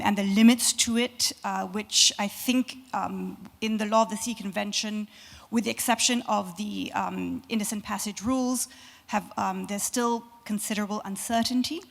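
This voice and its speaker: Hoarse, gravelly woman academic, halting delivery, hall with long reverb. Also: mid-range voice. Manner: hesitant, many fillers, thinking aloud. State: hoarse, losing her voice. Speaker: conference panelist. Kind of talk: academic panel response.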